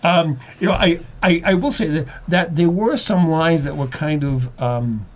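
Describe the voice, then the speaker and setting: A man in his seventies talking casually and reflectively as a guest writer, halting and repeating words as he goes. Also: a little high. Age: 70s.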